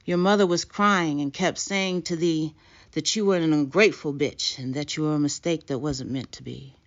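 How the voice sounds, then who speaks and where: A woman voicing a blind male street fortune-teller in a poem, calm, solemn and oracular. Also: deep voice, moderate pace. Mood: solemn foretelling. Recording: dull lo-fi recording.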